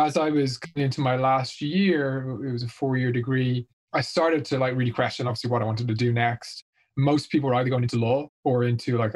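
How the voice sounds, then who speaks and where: A middle-aged man with an Irish accent talks through his working life in a reflective, measured way. His voice sits at an ordinary middle pitch, and he takes his time. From Ireland. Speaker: guest, marketing executive. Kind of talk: casual interview answers.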